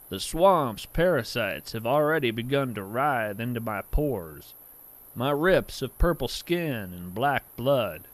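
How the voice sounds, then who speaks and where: Measured, whimsical naturalist storyteller, slow-paced man acting. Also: medium pitch. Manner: measured, gentle, earnest delivery with florid wording. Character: genial, whimsical male naturalist hosting strange creatures by campfire. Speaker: podcast host and narrator. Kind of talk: scripted fiction podcast narration, literary and poetic.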